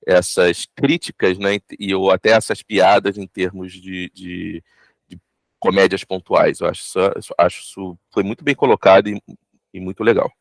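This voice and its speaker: Gravelly, low-voiced Brazilian man. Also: steady tone, normal speed, no echo. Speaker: audio drama team member in a discussion. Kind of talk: casual discussion.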